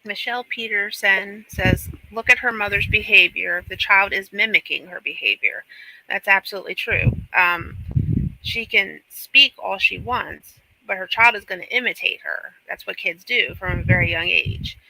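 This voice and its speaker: A man's voice: high voice, normal speed, vocal fry, sharp tone, poor audio. A man on a talk panel reading out comments and responding with his opinions.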